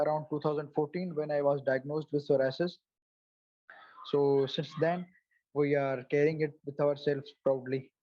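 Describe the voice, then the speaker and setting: A man in his twenties from Pakistan, speaking as a guest on a video call, halting, with many fillers and restarts. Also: on the high side, no echo, muffled recording. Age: 20s. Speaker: guest, psoriasis advocate. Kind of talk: casual interview answers.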